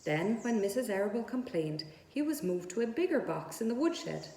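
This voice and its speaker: A woman's voice: fairly high pitch, very animated, moderate pace, mellow. A woman narrating a children's book aloud as a storyteller. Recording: echoey room.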